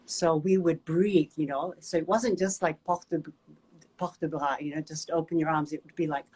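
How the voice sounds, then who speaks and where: A woman, a guest movement teacher telling a personal story in a gentle, reflective way with many pauses. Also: low-pitched, fairly even intonation, breathy edge, poor audio. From Japan.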